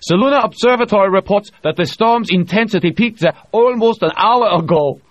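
A man doing an excited German woman scientist. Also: high-pitched, brisk, no echo, voice pushed up, lightly acted. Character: eager young German woman physicist.

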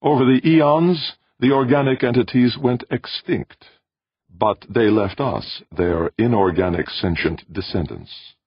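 A man voicing an ancient, calm, self-aware alien machine in a sweet tenor, with measured, formal, unemotional speech for an audiobook story. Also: mid-range voice, husky, no echo, lo-fi sound, voice pushed up. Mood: calm, solemn.

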